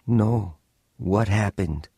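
A man's voice: on the low side, extremely slow, warm tone. A man playing a cocky, skeptical young boxer in a radio drama. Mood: wary, anxious. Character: cocky, skeptical young male boxer turned aviation worker. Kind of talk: radio drama acting with first-person narration.

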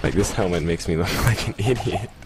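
A man's voice: sits low, a little fast, heavy background noise. A young man joking around while playing a co-op game, full of self-mocking banter. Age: young adult. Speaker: let's play co-host. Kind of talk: casual gaming chat. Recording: game music behind voice chat. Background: game music and battle sounds.

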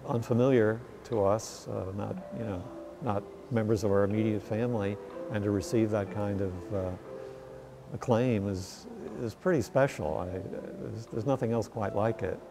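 A man in his sixties giving a measured documentary interview, often pausing mid-sentence. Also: on the low side, vocal fry. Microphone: lapel mic. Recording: music under the voice.

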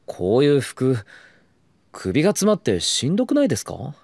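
Eager, lively, devoted young man. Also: mid-range voice, normal speed, gravelly, dry recording, full character voice. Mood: relaxed, whiny. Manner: pleading, excitable, murmuring inner monologue. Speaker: voice actor. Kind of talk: Japanese BL drama CD acting.

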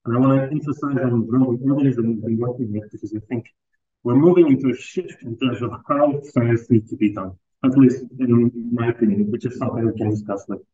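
A man's voice: medium pitch, fast, mellow, muffled recording. A man in his thirties from Palestine giving a university seminar to students and postdocs, talking loosely with fillers and restarts. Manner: conversational, with frequent fillers and restarts. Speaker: research group leader giving a seminar. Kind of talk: academic seminar talk. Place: lecture room.

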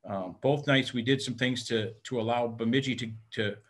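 Halting middle-aged coach on video call. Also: male voice, mid-range voice, steady tone, a bit bright. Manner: halting, repeats words, many fillers; plain-spoken, frank. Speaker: head hockey coach. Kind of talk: postgame press conference answers. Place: indoor room in front of team backdrop. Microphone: webcam on video call.

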